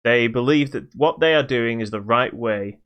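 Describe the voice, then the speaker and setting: A man co-hosting a podcast, casually reviewing a video game in a halting but emphatic way. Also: mid-range voice, a bit bright, clean tone, highs cut off. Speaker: podcast co-host.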